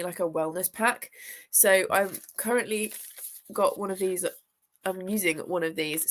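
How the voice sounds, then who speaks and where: A woman's voice: on the low side, a bit bright. A young woman with a congested, sniffly voice from a chest infection, chatting casually and rambling with viewers on a live stream. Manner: chatty and rambling, lots of fillers, greets viewers. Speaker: booktuber hosting a live reading sprint; teacher.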